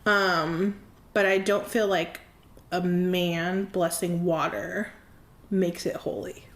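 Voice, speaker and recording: A woman's voice: on the low side, little pitch movement, slow pace. Chatty laughing woman in her thirties. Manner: chatty, laughs often, many "like" fillers. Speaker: podcast guest. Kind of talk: candid conversational interview. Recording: roomy echo.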